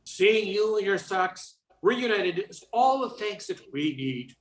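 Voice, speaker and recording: A woman's voice: medium pitch, big pitch swings, takes it slowly, echoey room. An older woman playing an eager, earnest agent in a comic radio play, emphatic and mock-serious. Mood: solemn. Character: eager, earnest agent on a trivial household case.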